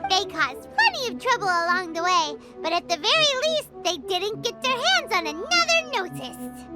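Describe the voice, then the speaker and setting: A woman playing a tiny, excitable childlike fairy companion in a video game, squeaky and blurting. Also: normal speed. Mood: pleased, chatty. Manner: speaks of self in third person, blurting, indignant. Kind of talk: video game character acting. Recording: music behind the voice.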